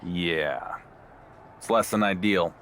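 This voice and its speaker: An actor playing a defiant, sardonic wrestling champion in a video game cutscene, with a matter-of-fact delivery. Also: male voice, low-pitched, slow, fairly bright tone, slight background noise. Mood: scheming.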